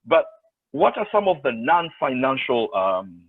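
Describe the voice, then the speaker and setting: A man's voice: medium pitch, very animated, unhurried, slightly rough, no room sound. A man moderating a panel, who gives conversational responses and hesitates now and then when turning to the next speaker. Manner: conversational, with backchannel affirmations and hesitations. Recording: tinny phone-like line.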